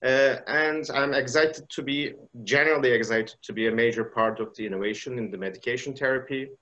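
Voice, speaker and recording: A man's voice: medium pitch, a little fast, bright, forceful tone, highs cut off. Hesitant man lecturing over video call. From Turkey. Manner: hesitant, many uh fillers and restarts. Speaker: pharmacy professor giving a webinar talk. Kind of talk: presentation lecture.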